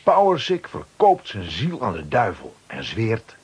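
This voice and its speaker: A man's voice: lowish voice, wildly swooping pitch, a little slow, slightly rough. A man narrating a children's radio play from a script, dramatically.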